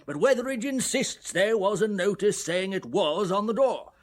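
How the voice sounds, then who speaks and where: Club secretary, upper-class English accent, slightly high pitch, exasperated, wry, clipped, radio drama. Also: male voice, animated, normal speed. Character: harassed, grumbling English gentlemen's club secretary.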